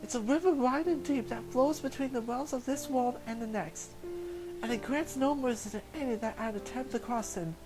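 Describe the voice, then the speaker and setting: A woman voicing a small, tough old grandmother who speaks loud and rough with rage. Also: on the high side, normal speed, over music, lightly acted. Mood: stern.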